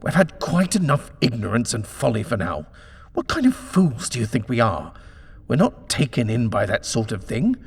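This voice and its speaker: A man's voice: medium pitch, very animated, normal speed, vocal fry, husky, big-room sound, heavily acted. A man voicing an arrogant, short-tempered house-buyer in a storybook reading, imperious, scornful and exasperated.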